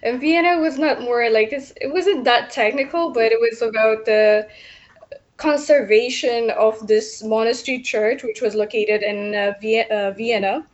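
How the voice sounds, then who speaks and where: A young woman from Ethiopia, a civil engineer answering radio interview questions with many pauses and fillers. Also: on the high side, muffled recording.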